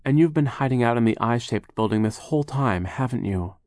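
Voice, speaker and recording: A man's voice: medium pitch, animated, brisk, a little mellow, no echo, studio quality, voice pushed up, lightly acted. A man voicing a curt, defiant young girl in a storybook, indignant and insistent.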